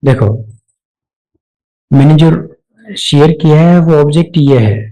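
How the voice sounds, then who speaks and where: A man with an Indian accent narrating a step-by-step software tutorial, explaining each action aloud as an instructor. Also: a little high, slow pace, rough recording.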